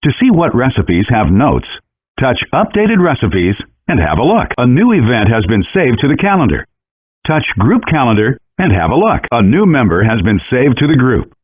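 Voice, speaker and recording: A man voicing a friendly, encouraging virtual chef in a cooking game, giving clearly worded scripted instructions through dull, compressed game audio. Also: medium pitch, big pitch swings, moderate pace, dry recording. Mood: patient, instructive.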